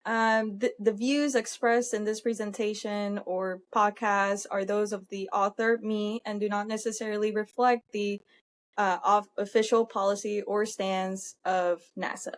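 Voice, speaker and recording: A woman's voice: mid-range voice, flat delivery, unhurried. A young woman from Puerto Rico, a Spanish speaker, talking earnestly as an interview guest with frequent fillers and self-corrections. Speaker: guest, industrial hygienist. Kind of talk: conversational interview answers.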